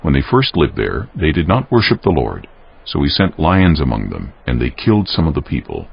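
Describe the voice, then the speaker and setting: Man, scripted scripture narration, solemn, gravelly bass, lively intonation, muffled audio with faint music. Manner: solemn, measured storytelling.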